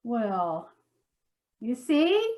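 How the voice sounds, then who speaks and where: Comedy sketch, actress as self-righteous, exasperated wife. High voice with big swoops, nagging and theatrical. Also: female voice, very animated, drawn-out words, a little mellow, full character voice. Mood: exasperated, scornful. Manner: dramatic, exasperated, repeats herself.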